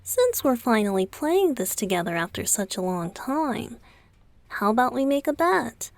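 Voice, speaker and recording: A woman playing a playful, affectionate young male idol boyfriend in an intimate ASMR roleplay, soft and often close to a whisper. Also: medium pitch, dry recording. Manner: teasing, coaxing, giggly, slow with pauses. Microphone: close studio microphone, dry.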